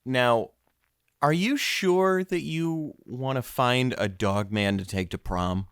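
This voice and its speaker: A man hosting a mock-documentary comedy interview podcast in an earnest, measured and halting way. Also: mid-range voice, fairly bright tone, clean tone, no room sound, studio quality. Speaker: podcast host.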